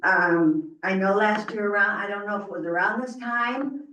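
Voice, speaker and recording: A woman's voice: medium pitch, moderate pace, sharp tone, slight background noise. A woman resident giving informal public comment in a meeting room, away from the microphone. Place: indoor council meeting room. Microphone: distant room mic, not at the stand. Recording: echoey room, far from the mic.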